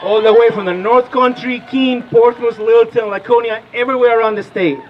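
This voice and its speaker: A man in his thirties from Peru speaks at an outdoor rally, pushing the crowd with questions. His voice sits high for a man, and the recording is muffled and dull. A faint hiss runs under him. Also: steady tone, brisk. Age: 30s. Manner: rousing, asks the crowd questions. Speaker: rally speaker, political organizer. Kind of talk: impassioned rally speech.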